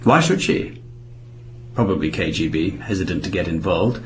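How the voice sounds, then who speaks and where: An actor playing a protective, anxious British intelligence officer and husband in a radio drama, with a British accent. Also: male voice, sits low, lively intonation, moderate pace, a bit bright, slight background noise. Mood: angry, insistent. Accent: British English.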